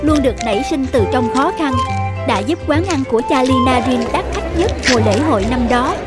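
A woman's voice: sits high, expressive, brisk, loud music behind the voice. A woman narrating a scripted story as a storyteller.

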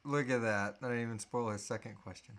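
A man's voice: medium pitch, fairly even intonation, a little fast, fairly bright tone. A man in his thirties chatting casually and jokingly as co-host of a live Q&A. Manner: jokey, playful. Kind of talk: casual chat. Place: small room indoors, on a couch.

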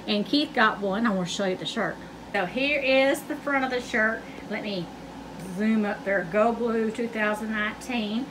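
A woman's voice: on the high side, normal speed. A woman, chatting to viewers in a casual vlog and calling them "y'all". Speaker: vlogger. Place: car interior, then at home. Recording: car noise behind voice.

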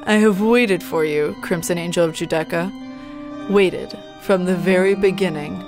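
Girl doing a creepy dark sorcerer bird guy, voice dropped low, pompous menace, visual novel villain over music. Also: lightly acted. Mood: ominous. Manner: slow, grandiose, ominous villain declamation. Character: sinister male partridge dark sorcerer, coolly menacing villain. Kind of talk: visual novel character voicing.

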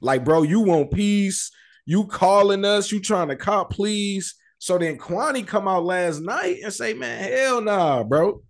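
Slangy, higher-pitched man talking street news. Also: expressive, takes it slowly, vocal fry. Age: middle-aged. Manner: slangy, profane, checks in with listener. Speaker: commentator on street and rap news. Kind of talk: casual street news discussion. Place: indoor room, video call.

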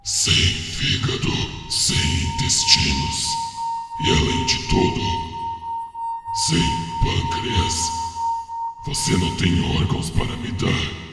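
A man voicing a hungry, menacing demonic monster in a Brazilian horror game fan dub, slow, gravelly and distorted. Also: extremely high pitch, wildly swooping pitch, full character voice. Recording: echoing, distant, music behind.

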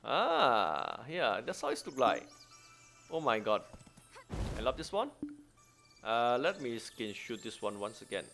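A man's voice: mid-range voice, big pitch swings, unhurried, gravelly, pauses often, a bit bright. A man giving casual gameplay commentary in short exclamatory bursts, with non-native English phrasing. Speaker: gaming YouTuber. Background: game music.

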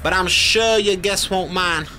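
A man acting a brisk, methodical homicide sergeant in an amateur radio mystery drama. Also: sits high, sharp tone, soft background music, full character voice. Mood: knowing, firm.

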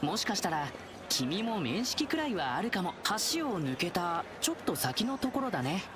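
A woman's voice: medium pitch, sing-song, normal speed, background noise, natural voice. A woman voicing a cheerful, eager young male lion dancer in a video game, with a friendly, earnest, boyish manner.

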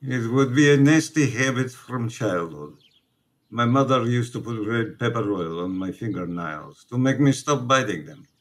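An actor playing a Polish immigrant priest in a radio play, guarded and reproachful, with measured, formal phrasing. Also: male voice, medium pitch, expressive, close to an everyday voice. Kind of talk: radio drama acting.

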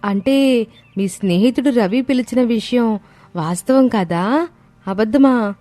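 A woman voicing a polite, eager young Telugu wife in an animated moral story. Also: on the high side, unhurried, no room sound, close to an everyday voice. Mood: happy, curious.

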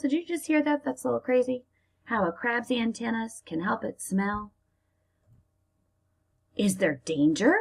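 An American woman teacher reading aloud to young children and explaining as she goes, in a deliberate, expressive manner. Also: mid-range voice, gravelly, husky. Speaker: elementary school teacher reading aloud to pupils.

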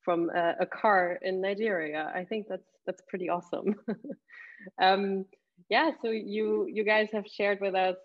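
A woman moderating a remote student panel over a video call, friendly and halting, with many fillers. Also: mid-range voice, steady tone, lo-fi sound. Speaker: event moderator.

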